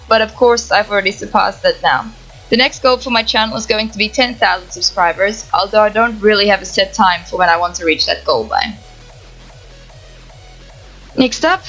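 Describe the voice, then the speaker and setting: A young woman from the Netherlands with a Dutch accent, calmly reading out answers to viewer questions for her gaming channel. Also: medium pitch, low-quality recording. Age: young adult. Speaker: gaming YouTuber. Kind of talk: reading Q&A answers to camera, no face cam. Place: home setup, voice-over without camera.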